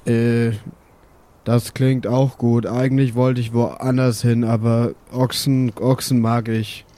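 A man playing a tabletop game, improvising casually with hesitant, drawn-out phrasing. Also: mid-range voice, flat delivery, warm tone. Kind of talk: casual improvised role-play.

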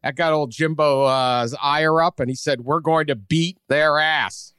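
A man's voice: fairly high pitch, steady tone, a little slow, a bit bright, clear, resonant tone, no room sound, studio quality. A man with a Boston accent hosting a sports podcast in casual, joking chat. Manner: joking, sardonic. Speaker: podcast host.

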